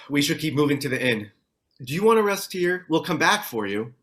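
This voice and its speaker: A man plays a practical man stranded after a crash, worried but taking charge. His pitch is very lively and varied, in a table read of a TV drama script. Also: moderate pace. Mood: grave, concerned.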